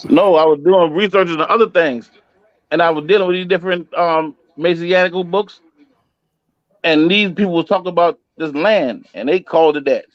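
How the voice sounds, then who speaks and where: A man's voice: on the high side, normal speed, dry recording, lo-fi sound. A man in a casual, argumentative discussion, speaking colloquially and insistently and repeating himself.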